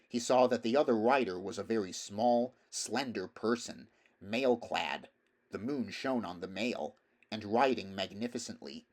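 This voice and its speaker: A man reading a story aloud as narrator, in a measured, expressive, dramatic style. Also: on the low side. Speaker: audiobook reader.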